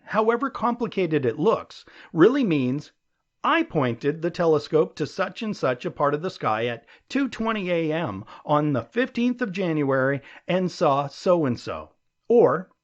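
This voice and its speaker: Expressive, higher-voiced man reading an audiobook. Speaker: audiobook narrator. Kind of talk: scripted audiobook narration of an essay. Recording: dull, lo-fi audio.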